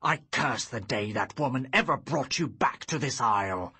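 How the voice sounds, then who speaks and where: A man's voice: mid-range voice, animated, sharp tone, husky, full character voice. A man doing a grumbling sorcerer villain. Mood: scornful. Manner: grumbling, slow and menacing. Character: bitter old male sorcerer villain.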